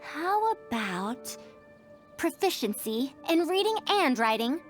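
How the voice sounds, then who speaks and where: Game role: self-assured academic woman, high and animated, formal old-fashioned wording. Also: takes it slowly, faint music behind, heavily acted. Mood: pondering. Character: confident, headstrong, scholarly young-looking female academic. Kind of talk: voiced game character dialogue.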